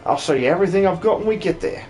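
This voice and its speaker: A man plays a generous, plain-spoken male farmer from a video game. The delivery is folksy and very animated, with faint music underneath. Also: high-pitched, racing. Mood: hearty, grateful.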